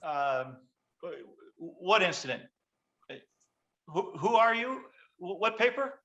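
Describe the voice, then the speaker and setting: A man's voice: high voice, sing-song, slow pace, bright, forceful tone, muffled recording, exaggerated voice. An actor playing an evasive politician under pressure in a script read, stammering and flustered as he talks. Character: cornered, evasive male senator running for president. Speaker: actor in a virtual table read. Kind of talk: screenplay table-read acting.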